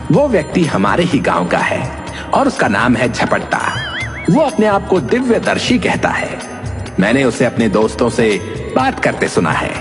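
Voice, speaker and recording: A man voicing a sly messenger who flatters the king and persuades him. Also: mid-range voice, long reverb tail. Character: sly male messenger at court.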